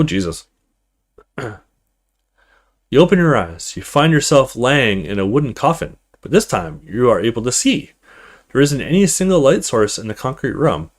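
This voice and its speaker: Brief: male let's play host, narrating a visual novel with casual asides, mid-range voice, animated intonation. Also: medium pitch. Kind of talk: reading visual novel text aloud with casual commentary.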